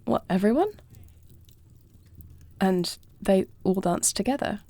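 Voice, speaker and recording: Woman acting a mellow, earnest young dragon queen. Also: mid-range voice, lively intonation, takes it slowly, no room sound. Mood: curious, warm. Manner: earnest, formal, softly intimate. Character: compassionate young dragon queen, self-doubting warrior bride. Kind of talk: scripted fantasy audio roleplay.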